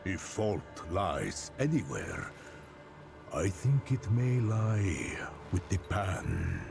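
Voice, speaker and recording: A man playing a grave, devout elder storyteller of a dying forest people in a fantasy game, halting and musing in delivery. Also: deep voice, huge pitch swings, creaky, full character voice. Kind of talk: theatrical fantasy game dialogue. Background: music.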